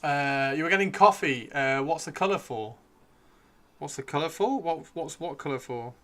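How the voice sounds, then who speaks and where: A man hosting a casual live stream, chatting excitably and repeating his phrases, with his voice starting to give out. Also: a little high, moderate pace. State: losing his voice. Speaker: livestream host. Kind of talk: casual live stream chat while painting miniatures.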